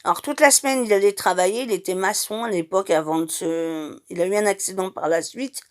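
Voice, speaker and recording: A middle-aged woman from Madeira telling her life story in an unscripted, hesitant way, with many pauses and restarts. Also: mid-range voice. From Madeira, Portugal. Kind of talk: personal testimony, unscripted.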